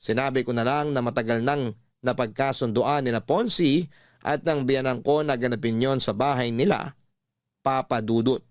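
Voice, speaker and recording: Male Filipino storyteller for radio drama, medium pitch, scripted narration in a dry studio sound. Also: steady tone, normal speed, dry recording. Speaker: story narrator reading a letter.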